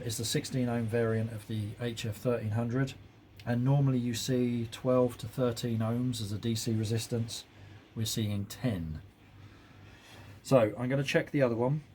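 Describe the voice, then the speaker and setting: A man talks through technical work in a casual, explanatory manner. His voice sits at an average pitch and stays matter-of-fact and informal, like a hands-on workshop commentary. There is slight noise in the recording. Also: a little mellow. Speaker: host, audio repair hobbyist or technician.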